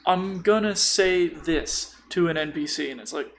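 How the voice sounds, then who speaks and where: A man's voice: on the high side, normal speed, gravelly. A man chatting casually as a podcast co-host and dungeon master, blunt and given to swearing. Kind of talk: casual chat about tabletop role-playing. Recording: faint background noise.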